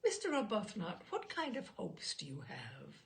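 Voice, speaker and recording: A woman voicing a courteous male questioner who methodically examines a witness in a humorous story, formal and measured. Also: medium pitch, wildly swooping pitch, vocal fry. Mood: polite, inquiring. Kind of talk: humorous short story read aloud.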